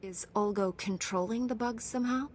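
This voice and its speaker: A woman's voice: fairly high pitch, normal speed, a little mellow, a little hiss or hum, lightly acted. A woman playing a principled, compassionate young warrior heroine in a video game, composed and earnest. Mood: businesslike. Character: principled, compassionate young female Jedi warrior, calm and resolute. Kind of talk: video game character acting.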